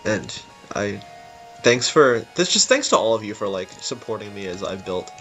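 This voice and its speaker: A man's voice: lowish voice, big pitch swings, normal speed, fairly bright tone, low-quality recording. A man giving informal, rambling, unscripted commentary on a game level he built. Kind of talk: casual unscripted gameplay commentary. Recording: music behind the voice. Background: game music.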